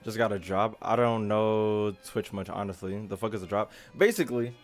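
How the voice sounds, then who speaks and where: A man's voice: fairly low pitch, moderate pace, faint music behind. A young man chatting casually as a game streamer, informal and slangy, rambling through his commentary. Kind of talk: casual livestream chat and commentary.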